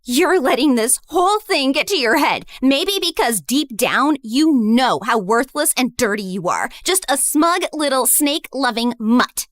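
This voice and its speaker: A woman acting as a scornful, bitter teenage girl in an audio drama. The voice is very high and sharp, recorded dry and close, and the delivery is accusing, sneering and emotional. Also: animated, unhurried, few pauses, no echo. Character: bitter, scornful teenage girl, a betrayed former best friend. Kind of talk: dramatized first-person story acting.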